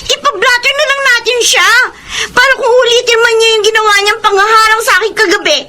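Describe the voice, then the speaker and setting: A very high, youthful female voice plays a frightened daughter who pleads and protests with indignation. It is radio drama acting, with clear noise on the recording. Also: fairly even intonation, a little fast, sharp tone. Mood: pleading, upset.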